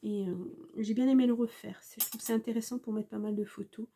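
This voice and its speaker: Female crafter, sick with a cold, husky voice, chatty and halting. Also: a little high, fairly even intonation, mellow. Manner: chatty, hesitant, many fillers, apologetic. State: has a cold, congested. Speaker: scrapbooking crafter. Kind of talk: casual craft show-and-tell.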